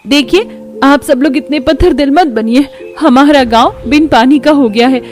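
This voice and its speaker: A woman voicing an earnest young daughter-in-law in an animated family story. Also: high-pitched, normal speed, soft background music, voice pushed up, lightly acted. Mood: pleading. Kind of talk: Hindi animated story dialogue.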